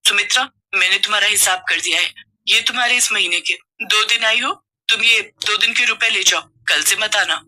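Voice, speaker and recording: A harsh wealthy housewife, voiced by a woman, talks in short, dismissive phrases. The voice is bright and sharp. It suits a children's cartoon story. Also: medium pitch, fairly quick, poor audio. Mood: curt. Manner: curt, dismissive.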